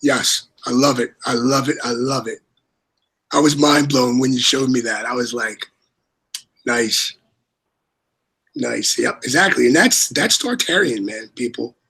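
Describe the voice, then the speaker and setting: A man with a raspy voice chatting casually as a livestream co-host, rambling and repeating his words. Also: mid-range voice, measured pace, long pauses, bright, forceful tone, low-quality recording. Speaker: co-host and moderator.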